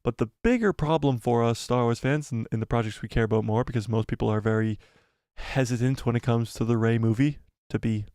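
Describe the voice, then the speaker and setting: A man of ordinary pitch talking casually on a live stream, rambling and reading out comments from viewers. His voice is getting worn and hoarse. The sound is dry and close, with no room echo. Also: a little fast, darkish tone. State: losing his voice.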